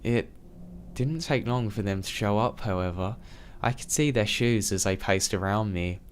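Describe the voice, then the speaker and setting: Low-voiced man narrating scary stories. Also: moderate pace, slight background noise. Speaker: storyteller. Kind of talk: reading true scary stories aloud.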